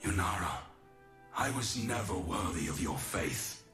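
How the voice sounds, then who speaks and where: Deep, echoing noble warrior god. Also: male voice, expressive, measured pace, fairly bright tone. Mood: grave, solemn. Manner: solemn, formal, declamatory. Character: stern, noble ancient god-warrior, grave and judging. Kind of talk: video game champion voice lines. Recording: echoing game voice over music.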